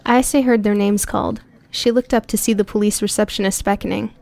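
A woman reading a prose story aloud in an even, clear storytelling voice, recorded close on a studio mic. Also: mid-range voice, a little fast. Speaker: audiobook narrator. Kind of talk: scripted narration.

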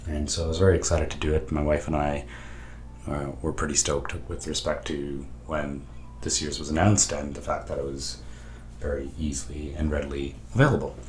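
Hoarse thirties man, very deep, excited rambling host, roomy room with faint hiss. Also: normal speed. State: lost voice, hoarse. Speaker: stationery vlogger. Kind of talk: casual show-and-tell. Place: home study with lamps and bookshelves.

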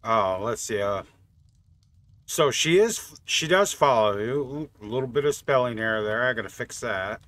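A man's voice: medium pitch, lively intonation, normal speed, fairly bright tone. A man giving casual, offhand gameplay commentary, thinking aloud as a channel host. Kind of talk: casual game mod test commentary.

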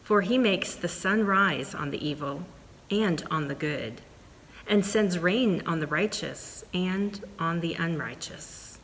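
A middle-aged woman pastor leading a prayer and reading scripture in a measured, deliberate way, on a lapel mic in a church sanctuary. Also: lowish voice, fairly bright tone. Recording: reverberant sanctuary, faint room noise.

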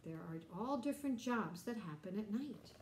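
A middle-aged woman reading a picture book aloud to children, explaining and asking simple questions in an animated way. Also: medium pitch, normal speed, reverberant, a little hiss or hum. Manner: animated, explanatory, addressing young listeners. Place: bright indoor room.

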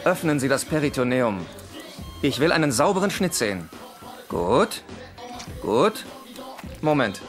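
An actor playing a stern supervising surgeon, curt and commanding, in a German-dubbed TV comedy. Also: male voice, medium pitch, sing-song, measured pace, pauses often, soft background music.

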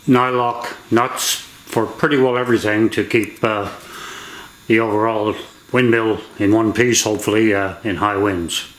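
An older man explains a hands-on job in a fairly low voice with a clear, bright edge. He speaks haltingly and deliberately, matter-of-fact throughout. The garage around him gives the sound a strong room echo. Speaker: DIY demonstrator. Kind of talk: hands-on how-to narration. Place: indoor garage workshop with hard floor.

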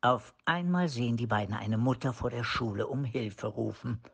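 A man's voice: medium pitch, moderate pace, creaky. A man reading scripted narration for a radio play.

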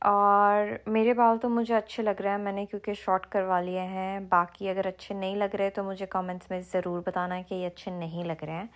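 A woman's voice: medium pitch, flat delivery, fairly quick, hardly any pauses. A young woman talking casually to her phone camera in a salon, as a vlogger, mixing English phrases into her talk. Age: young adult. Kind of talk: casual vlog narration. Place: beauty salon indoors. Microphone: phone held at arm's length.

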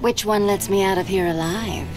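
An actress playing a sly, flirtatious cat burglar in a video game, with a sultry, sardonic delivery. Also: female voice, mid-range voice, normal speed, close to an everyday voice. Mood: sly taunting. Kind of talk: video game character acting. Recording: loud music behind.

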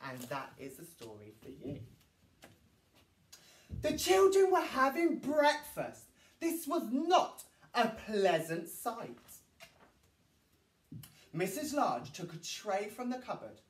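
A man's voice: very high-pitched, wildly swooping pitch, takes it slowly, pauses often. A man in his thirties reading a storybook to children in an exaggerated, sing-song way. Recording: roomy echo, distant microphone.

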